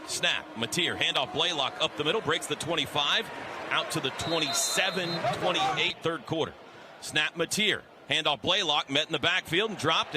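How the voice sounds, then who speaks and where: Male American radio sportscaster, high voice, staccato live football calls, heavy stadium noise behind. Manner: clipped, staccato phrases. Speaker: radio play-by-play announcer. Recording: radio broadcast over loud stadium noise. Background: loud stadium crowd noise.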